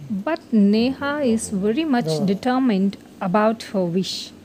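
A woman's voice: on the high side, slow pace, darkish tone, slight background noise, rough recording. A woman reading a scripted moral story for children as its narrator.

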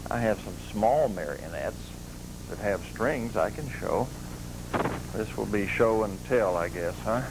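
Low, gravelly American man, children's host. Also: animated, unhurried. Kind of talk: casual show-and-tell chat for children. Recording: noisy 1980s TV broadcast tape.

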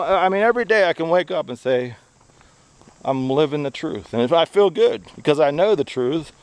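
A middle-aged American man speaking earnestly and haltingly as he walks outdoors, giving an opinionated vlog monologue into a handheld camera. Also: mid-range voice, clean tone, dry recording. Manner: earnest, halting phrasing delivered while walking. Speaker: video blogger, activist. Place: outdoors on a wooded country road, walking. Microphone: handheld camera at arm's length.

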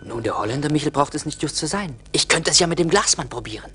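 An actor playing a poor, discontented young charcoal burner in a 1980s radio play, musing theatrically in envy and longing. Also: male voice, on the high side, expressive, quick pace, bright, forceful tone, slight background noise. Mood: eager. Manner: theatrical, brooding monologue.

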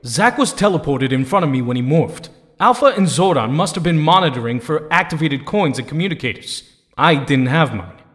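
An actor playing a serious, reassuring young male captive hero in an audio drama, speaking in a measured way. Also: fairly high pitch, animated, fairly bright tone. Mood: reassuring, uncertain. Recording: long hall-like reverb.

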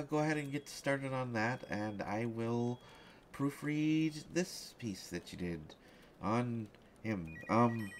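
A man playing a flustered, lovestruck young journalist in an audio roleplay, speaking in halting, hesitant stammers. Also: lowish voice, a little hiss or hum. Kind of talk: one-sided audio roleplay acting.

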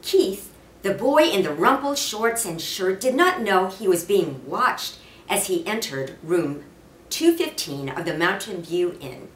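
Female storybook reader, sixties, unhurried and animated, recorded in a roomy space. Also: mid-range voice. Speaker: mayor reading aloud. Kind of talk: expressive children's storybook reading. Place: indoor office or lounge. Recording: roomy, distant mic with faint noise.

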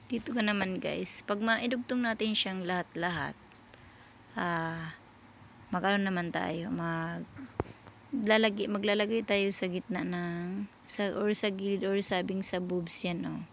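A Filipino woman giving a casual step-by-step sewing tutorial in a halting way, often searching for words as she addresses viewers. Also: mid-range voice, steady tone, fairly bright tone, slight background noise.

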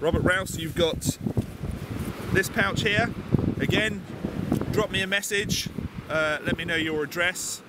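A man in his forties, from England, chatting casually outdoors on a beach, relaxed with lots of ums and uhs. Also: high voice, a little slow, pauses often. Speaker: bushcraft vlogger. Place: outdoors on a sunny beach, windy. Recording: loud wind and sea noise.

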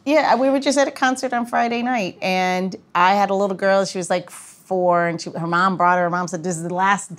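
A woman's voice: medium pitch, steady tone, fairly quick, hardly any pauses. A woman hosting a podcast, chatting casually in an anecdotal, conversational way. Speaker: podcast host.

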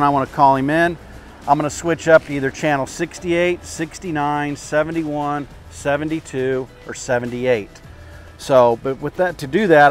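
An older man, a boat captain, giving casual instructional boating tips outdoors on a lapel mic. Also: mid-range voice. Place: outdoors at a marina by a parking lot. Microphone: clip-on lapel mic.

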